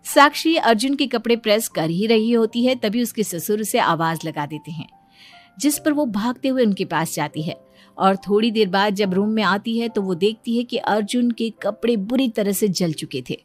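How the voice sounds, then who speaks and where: A woman's voice: medium pitch, animated, moderate pace, soft background music. A woman narrating a scripted moral story as its storyteller.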